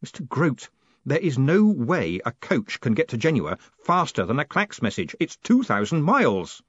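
Guy playing a wry exasperated boss in an audiobook, quick-witted, pitch jumps about a lot. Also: big pitch swings, slightly rough, no echo, lo-fi sound. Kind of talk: audiobook character voice.

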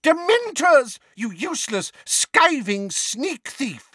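A man voicing a flustered, scolding elderly woman in an audiobook, exclaiming and fussing in a shrill, indignant way. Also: very animated, moderate pace, fairly bright tone, no room sound, studio quality, heavily acted. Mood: shrieking, furious. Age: older.

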